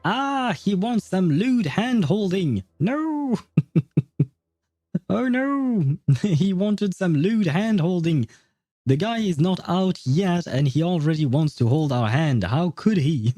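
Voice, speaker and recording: A man's voice: sits high, expressive, slow pace, dark tone, dry recording. A man livestreaming casual reaction commentary on a game, thinking aloud in informal language.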